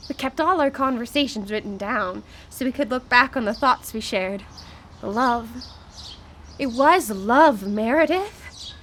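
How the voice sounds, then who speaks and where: A woman's voice: high-pitched, fairly bright tone. A woman acting a grieving, short-tempered widow in a live radio drama, speaking with emotional urgency. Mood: hurt, exasperated. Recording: live radio broadcast with background noise.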